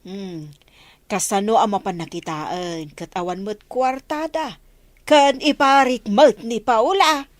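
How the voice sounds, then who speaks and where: A woman's voice: fairly high pitch, takes it slowly, dry recording. A woman acting an opinionated, matter-of-fact villager in a radio drama.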